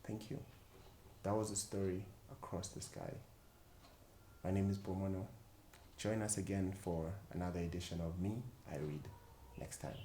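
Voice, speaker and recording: A man reading a children's story expressively, with dramatic pauses and emphasis. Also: low-pitched, level tone, warm tone. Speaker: volunteer storyteller at a children's book club. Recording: echoey room with faint background noise.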